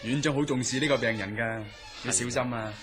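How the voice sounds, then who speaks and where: A man's voice: medium pitch, steady tone, a little fast, a bit bright, soft background music, close to an everyday voice. A man playing an easygoing, dutiful night-shift nurse in a Cantonese radio horror drama, with a Hong Kong accent. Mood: casual.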